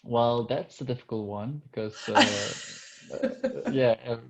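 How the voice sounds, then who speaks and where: A man's voice: medium pitch, a little slow, low-quality recording. A Dutch man working as a coach, speaking English in a hesitant interview with many fillers and restarts. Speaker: touch and intimacy coach. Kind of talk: video call interview.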